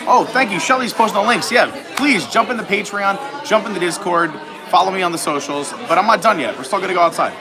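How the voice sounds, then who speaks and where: A man's voice: sits high, quick pace, hardly any pauses. An American man from Long Island chatting casually as he hosts a live vlog stream. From Long Island, New York, USA. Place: busy public indoor resort space. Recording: loud crowd in large indoor space. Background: loud crowd chatter.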